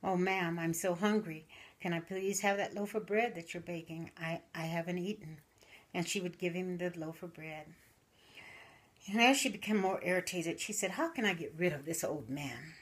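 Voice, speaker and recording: Older woman telling a story, low voice. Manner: slow, animated storytelling with voiced characters. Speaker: grandmother telling stories. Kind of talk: storytelling, folksy. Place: living room, indoors.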